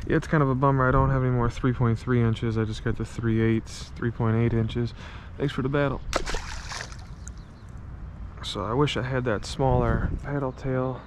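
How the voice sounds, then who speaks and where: A man at a small pond records himself on a head-mounted camera while casting and reeling. He chats to viewers in a loose, friendly way and gets excited over each fish. Outdoor ambience comes through clearly on the camera mic. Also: mid-range voice, moderate pace, background noise. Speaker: fishing vlogger. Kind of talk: casual first-person fishing commentary. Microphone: head-mounted action camera.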